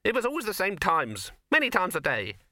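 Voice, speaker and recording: A squeaky, sour male rabbit. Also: huge pitch swings, a little fast, bright, forceful tone, no echo, studio quality, exaggerated voice. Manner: squeaky, sarcastic, touchy.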